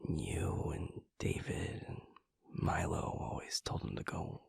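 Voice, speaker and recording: A man playing a husky, deep-voiced, earnest young man. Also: big pitch swings, a little mellow, no echo, in a whisper, heavily acted. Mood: tender, sincere. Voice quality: husky, breathy, low murmur. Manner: halting, hesitant, stammering. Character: earnest, vulnerable young man, loyal pack second-in-command. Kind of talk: intimate audio roleplay drama.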